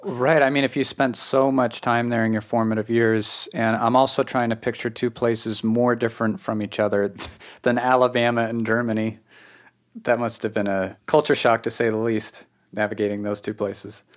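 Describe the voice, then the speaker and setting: A man's voice: medium pitch, level tone, moderate pace. A man with a New England accent, hosting a casual conversational podcast interview. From New England, United States. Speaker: podcast host.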